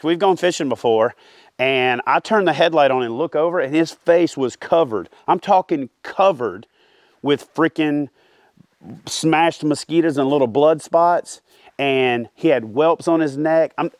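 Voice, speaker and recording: A man, hosting a fishing channel and giving casual advice straight to camera outdoors by a lake, animated and full of "uh" fillers. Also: on the high side, no room sound, clean studio sound. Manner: animated, talks with his hands, many "uh" fillers. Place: outdoors by a lake among trees.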